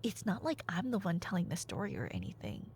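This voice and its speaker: A woman's voice: lowish voice, wildly swooping pitch, quick pace, a little mellow, a little hiss or hum. A woman performing an audio roleplay as a nerdy girlfriend, telling a story casually with playful asides to an unheard listener. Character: chatty nerdy girlfriend excitedly retelling Greek myths.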